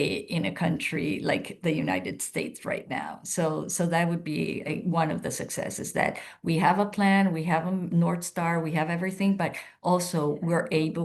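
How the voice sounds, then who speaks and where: A woman speaking for a nonprofit on a video call, halting and restarting as she gathers her thoughts. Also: low voice, vocal fry, darkish tone. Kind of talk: video call panel discussion.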